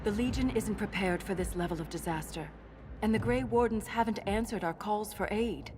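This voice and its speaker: An actress playing an earnest, formal dwarven scholar in a video game, speaking precisely and with measured care. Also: female voice, medium pitch, background noise, lightly acted. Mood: grave, concerned. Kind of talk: video game cutscene acting.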